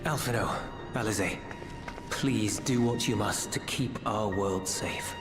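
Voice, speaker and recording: An actor playing a caring, slightly awkward warrior in a fantasy video game, reserved and a little hesitant. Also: male voice, lowish voice, measured pace, echoey room, over music, close to an everyday voice. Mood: earnest.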